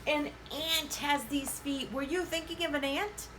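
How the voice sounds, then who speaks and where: A woman hosting a children's story time, reading interactively in a sing-song way and pausing after questions as if for answers. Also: high-pitched, steady tone, background noise. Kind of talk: interactive picture-book reading for young children.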